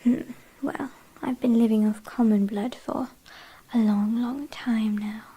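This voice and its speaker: A British woman playing an ancient, possessive, haughty vampire in a whispered ASMR roleplay, speaking softly with quiet menace and condescension. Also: fairly high pitch, steady tone, unhurried. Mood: tempted, controlling. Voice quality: soft breathy whisper.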